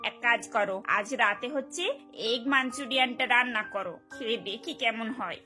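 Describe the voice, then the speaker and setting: Domineering cartoon mother-in-law, middle-aged, high voice, bright and sharp, scolding. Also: normal speed, faint music behind. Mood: nagging, instructing. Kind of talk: Bengali cartoon voice acting.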